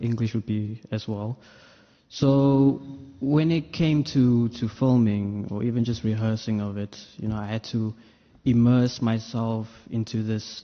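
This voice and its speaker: Male actor at a press conference, low even delivery, muffled sound in a reverberant hall. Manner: reflective, unhurried, with pauses. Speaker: actor answering press questions.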